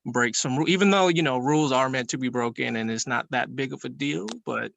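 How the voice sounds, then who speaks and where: A man's voice: medium pitch, fairly quick, gravelly, fairly bright tone, no room sound. A man, a student, explaining informally with examples in a casual group discussion.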